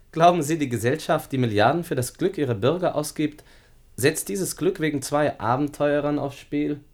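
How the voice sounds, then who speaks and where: An actor playing a cynical, deliberate informer in a German radio play. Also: male voice, a little high, a little mellow, lightly acted. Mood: cool, menacing. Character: cynical informer, calmly blocking the fugitives' escape.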